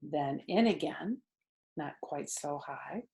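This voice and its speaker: A woman storyteller narrating a children's book in an expressive reading style. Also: sits low, slow pace, gravelly.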